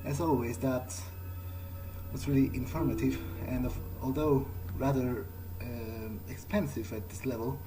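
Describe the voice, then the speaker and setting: A man role-playing through a game in character, thinking aloud in a hesitant, halting, musing way. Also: mid-range voice, fairly even intonation, background noise. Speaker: gaming video player, role-playing in character.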